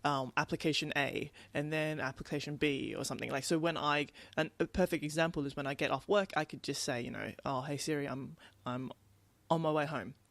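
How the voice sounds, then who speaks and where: A woman's voice: low voice, fairly even intonation, brisk, vocal fry, hardly any pauses, a bit bright, no echo. A woman co-hosting a podcast in casual conversation, telling things in a rambling way. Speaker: podcast co-host. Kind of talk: casual chat.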